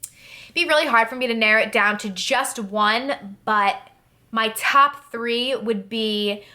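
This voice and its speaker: Young woman, twenties, from new jersey, bright tone, rambling casual chat, lots of fillers. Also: fairly high pitch, slow pace. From New Jersey, USA. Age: 20s. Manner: chatty, rambling, many fillers and "like". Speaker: beauty vlogger. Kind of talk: casual Q&A talk to camera.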